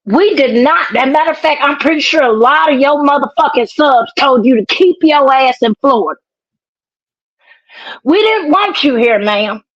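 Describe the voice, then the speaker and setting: A woman's voice: high voice, lively intonation, moderate pace, fairly bright tone, no echo. A woman caller, blunt and scolding, swearing heavily in casual, heated talk over dull call audio. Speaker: guest caller.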